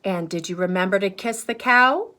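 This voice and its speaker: A woman's voice: mid-range voice, normal speed, bright, forceful tone, natural voice. A woman voicing an insistent mother in a children's storybook, firm and emphatic with her questions.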